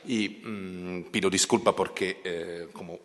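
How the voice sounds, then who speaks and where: A man's voice: deep voice, steady tone, unhurried, fairly bright tone. A man whose first language is not Spanish, speaking hesitantly as a panelist at an academic panel, with many fillers and restarts. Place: large conference hall. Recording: echoey hall.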